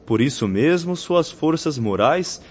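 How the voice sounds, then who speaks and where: Muffled, solemn young missionary spirit. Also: male voice, on the high side, animated, a little slow, darkish tone, slightly rough. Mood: solemn, instructive. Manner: didactic, formal, solemn. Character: earnest young male spirit missionary, patient instructor. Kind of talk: radio drama acting. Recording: muffled lo-fi radio drama recording, faint music.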